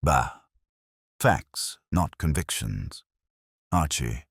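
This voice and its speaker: A man's voice: very deep voice, lively intonation, very slow, creaky, a bit bright, husky, no room sound, studio quality, exaggerated voice. A man voicing a heavyset, imperious, brusque detective genius with terse, formal, pompous speech, in an audiobook mystery. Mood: brusque, commanding.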